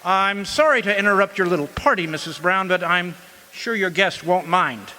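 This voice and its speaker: A man's voice: sits high, normal speed, sharp tone. A man playing a folksy homicide sergeant in an amateur radio mystery, matter-of-fact and musing with hems and haws. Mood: confident. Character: brisk, folksy male police homicide sergeant in charge. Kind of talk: amateur old-time radio detective acting. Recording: light hiss and background noise.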